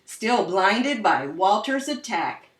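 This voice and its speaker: A woman reading a children's story aloud expressively, with dramatic, drawn-out emphasis. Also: mid-range voice, sing-song. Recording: roomy echo, distant microphone.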